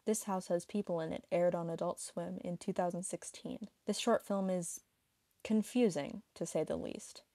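A woman's voice: lowish voice, moderate pace, mellow, dry recording. A woman reading a scripted explainer narration for an online video.

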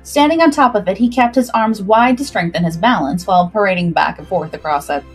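A woman's voice: medium pitch, brisk, soft background music. A woman narrating a scripted adventure story expressively as the host of a livestream.

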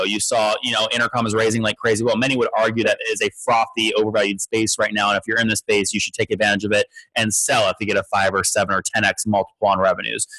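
Fast-talking male interviewer, fairly low voice. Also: a bit bright, rough recording. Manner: brisk, direct, businesslike. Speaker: podcast host, interviewer. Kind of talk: rapid interview questioning.

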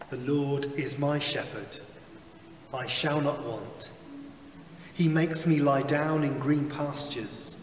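Slow man reading prayers in an echoey chapel. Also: medium pitch, recorded from a distance, slight background noise. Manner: slow, measured liturgical delivery. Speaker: chaplain leading worship. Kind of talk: reading scripture and leading prayers. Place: small chapel with wood-panelled wall. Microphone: far camera, seated behind a lectern.

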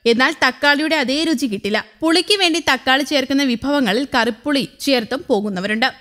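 A woman with a bright, high voice and a Malayali accent reads a scripted news report. Her delivery is even, formal and steady, a clean studio voice-over. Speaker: news reader.

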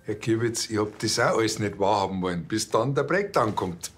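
A man's voice: lowish voice, expressive, brisk, breathy edge. An actor playing an earnest, naive village policeman in a crime comedy, speaking with a Bavarian accent.

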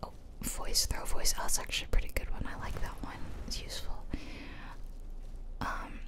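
Whispering woman doing gaming ASMR. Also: moderate pace. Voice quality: breathy unvoiced whisper. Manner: casual, chatty, playful. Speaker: gaming video host. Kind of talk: whispered ASMR game commentary. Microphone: close ear-to-ear ASMR microphone.

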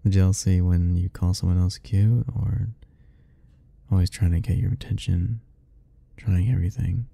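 A young man softly speaking a tender, hesitant ASMR roleplay, musing aloud. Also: deep voice, steady tone, normal speed, vocal fry, slightly rough. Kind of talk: soft-spoken ASMR roleplay.

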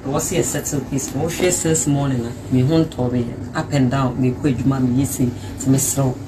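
A man's voice: medium pitch, fairly even intonation, a little fast, roomy sound, recorded from a distance, background noise. A man mediating a quarrel, lecturing both sides with growing emphasis.

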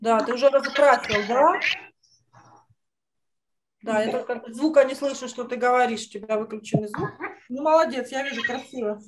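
A woman's voice: a little high, normal speed, long pauses, slight background noise. A woman teaching children drawing in an online lesson, speaking in an encouraging way full of praise. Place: indoors, on a video call. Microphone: video call microphone.

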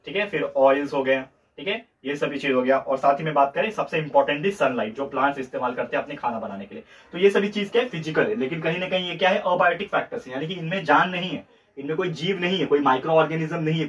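A young Indian man teaching a whiteboard lesson, slightly hoarse, mixing English words in and checking in with "theek hai". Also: on the high side, a bit bright. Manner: fast, repetitive teacher talk with frequent "theek hai" checks. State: slightly hoarse throat, clearing it. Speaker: tutor. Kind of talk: whiteboard lecture in Hindi-English mix. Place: room in front of a whiteboard. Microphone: camera at a distance. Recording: distant, dull lo-fi camera audio.

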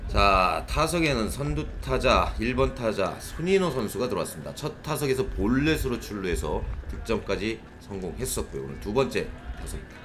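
A man calling an amateur baseball game live, moving from measured calls to excited shouts. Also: lowish voice, background noise. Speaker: play-by-play commentator.

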